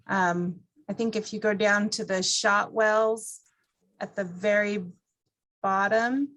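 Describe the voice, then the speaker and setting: A woman's voice: medium pitch, steady tone, takes it slowly, fairly bright tone. A woman instructor talking informally to a group, explaining things in an encouraging way. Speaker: class instructor.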